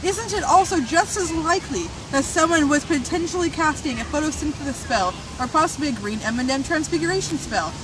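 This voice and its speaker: A woman with a high voice reads a scripted piece aloud as a dramatic performance. Her delivery is theatrical and emphatic, with a mock-serious air. Clear background noise, like traffic outdoors, runs behind her. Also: a little fast, hardly any pauses. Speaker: reader of a fan zine. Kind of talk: dramatic reading of a scripted mock-conspiracy essay.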